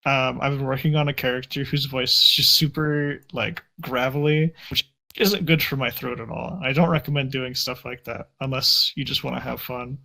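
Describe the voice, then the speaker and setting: A man's voice: mid-range voice, steady tone, moderate pace, creaky, bright, forceful tone. A young man answering interview questions in a casual, reflective and self-deprecating way. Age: young adult. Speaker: interview guest.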